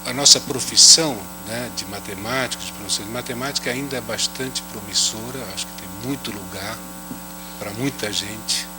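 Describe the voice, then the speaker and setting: A man's voice: medium pitch, normal speed. A man speaking at an academic round table with a slight foreign accent. He talks unhurriedly and adds "né?" after many phrases. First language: not Portuguese. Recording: audible hum under the voice.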